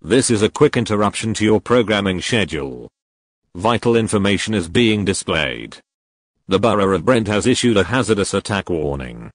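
A man's voice: lowish voice, sing-song, moderate pace, dry recording. A man playing an impersonal emergency alert announcer reading a formal public warning.

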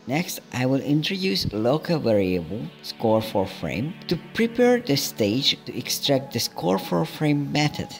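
A man calmly narrating a programming tutorial step by step in a scripted voice-over. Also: medium pitch, unhurried, creaky, few pauses. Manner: calm, methodical, explaining each step. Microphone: voice-over over screen recording. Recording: soft background music.

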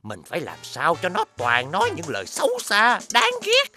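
A woman's voice: lowish voice, huge pitch swings, moderate pace, pushed and bright, faint music behind, exaggerated voice. A woman voicing a mischievous, rude insect henchman with exaggerated, conniving delivery in a cartoon. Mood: scheming. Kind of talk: children's animated series dubbing.

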